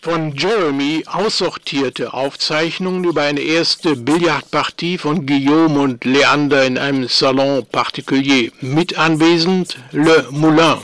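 An actor in a radio play as an archivist, announcing documents in a matter-of-fact, official narration. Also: male voice, a little high, measured pace, few pauses, a bit bright, no echo. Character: dry archivist announcing documents from old files.

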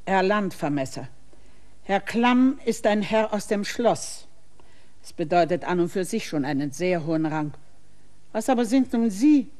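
An actress playing a stern, middle-aged innkeeper who lectures a stranger with condescension in a radio play. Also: female voice, medium pitch, lively intonation, unhurried, a little mellow, natural voice. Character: stern middle-aged woman innkeeper, guarding her maid.

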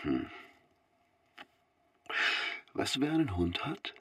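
An actor playing a dry, probing police detective, terse and deadpan, in a naturalistic radio crime drama. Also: male voice, on the low side, slow, slightly rough, no room sound, heavily acted. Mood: musing.

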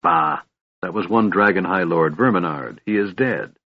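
A man's voice: deep voice, moderate pace, slightly rough, dry recording, highs cut off. A man voicing a proud, stern elven elder brother in a fantasy audiobook, speaking in a haughty, formal, accusing way. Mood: dismissive.

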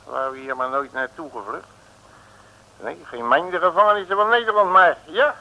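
An actor playing an exasperated, hammy detective who stammers out complaints in an old comic radio play. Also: male voice, a little high, normal speed, muffled recording, close to an everyday voice. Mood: grumbling disgust. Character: grumbling theatrical male detective stuck in jail. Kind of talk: 1950s-60s comic radio play acting. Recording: noisy old radio recording.

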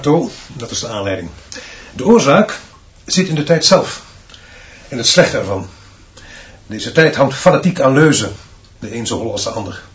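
Stern male headmaster, played by an actor, radio drama, mid-pitched voice, clipped and unbending, slightly dull recording. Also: lightly acted. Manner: clipped, authoritarian pronouncements. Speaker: school director addressing his teaching staff.

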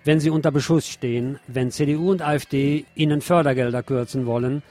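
A man's voice: medium pitch, steady tone, brisk, mellow, faint music behind. A man reading scripted news and press reports as a radio presenter.

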